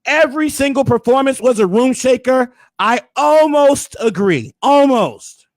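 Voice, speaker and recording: A hoarse man hosting a casual recap, hyping things up with preacher-like flourishes. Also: extremely high pitch, lively intonation, a little slow, dry recording. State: hoarse, losing his voice. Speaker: host.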